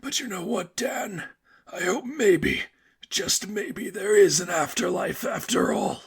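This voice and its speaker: A defiant young man at death's door, acted by a male narrator, speaks slowly in a raised, very gravelly voice. His halting, breathy delivery swings in pitch, weary and bitter as in a dramatic audiobook. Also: lively intonation, full character voice. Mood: weary, wistful. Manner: halting, pained, drawn-out delivery.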